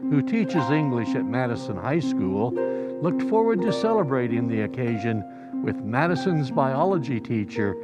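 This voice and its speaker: A man's voice: mid-range voice, very animated, moderate pace, lightly acted. A man announcing in old-time radio style, reading scripted narration with showy, presentational delivery. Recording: music behind the voice.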